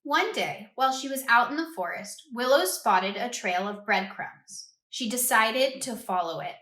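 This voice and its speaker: Woman, bright and a little high, expressive storybook reader who quizzes kids; roomy echo. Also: unhurried. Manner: expressive, asks listeners questions. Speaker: read-aloud host for children. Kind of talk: children's storybook read-aloud.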